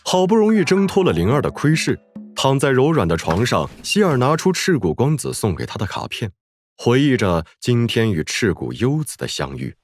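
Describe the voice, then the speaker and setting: A man's voice: medium pitch, wildly swooping pitch, moderate pace, no echo. A man delivering dramatic scripted narration for a story. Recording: background music under the voice.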